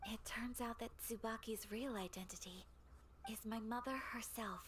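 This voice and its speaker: A woman playing a refined, gentle young noblewoman in a game, speaking formally and softly, with measured, polite phrasing and some breathiness. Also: fairly high pitch, fairly even intonation, slight background noise, natural voice. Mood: solemn. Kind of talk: English game dub acting. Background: game music.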